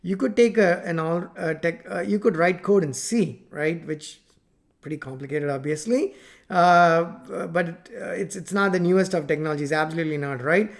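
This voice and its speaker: A man in his forties whose first language is Tamil, talking unscripted to a webcam from a home office about software work, rambling with many fillers and restarts while gesturing. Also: a little high, moderate pace, gravelly. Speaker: software company founder teaching coding. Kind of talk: unscripted talk to camera. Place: home office with plant and photos on wall.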